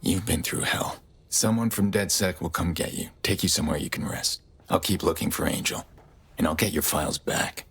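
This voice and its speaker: An actor playing a grim, stoic middle-aged vigilante hacker in a video game, terse and brooding, with a low gravelly rasp. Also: male voice, normal speed, fairly bright tone, exaggerated voice. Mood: resolute.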